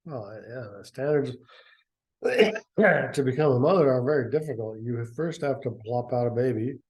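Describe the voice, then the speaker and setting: A man's voice: mid-range voice, animated, moderate pace, a little mellow. A man reading a story aloud and breaking off for sarcastic, rambling asides about it. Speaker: reader and commentator. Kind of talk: sarcastic commentary on a book reading.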